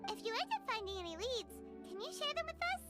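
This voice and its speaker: A woman's voice: very high-pitched, big pitch swings, fast talker, pushed and bright, faint music behind, full character voice. A woman voicing a tiny, eager fairy companion in a video game, upbeat and keen to help.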